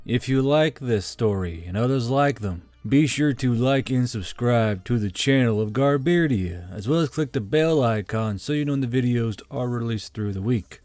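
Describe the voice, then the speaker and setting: A man's voice: mid-range voice, darkish tone, no echo. A man hosting a story channel, giving a scripted sign-off to listeners. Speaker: channel host. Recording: background music under the voice.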